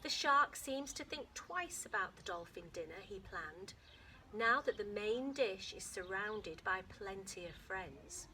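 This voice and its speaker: A woman teacher with a British accent reading a children's picture book aloud, with expressive storytelling that sometimes drops to a soft whisper and stops to explain words simply for young children. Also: mid-range voice, measured pace, slight background noise. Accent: British English. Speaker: infant school teacher.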